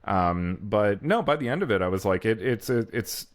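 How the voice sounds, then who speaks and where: A man's voice: lowish voice, lively intonation, moderate pace, no room sound, studio quality. A man chatting casually about films as a podcast co-host, in a rambling way full of filler phrases. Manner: rambling, peppered with "like" and "you know".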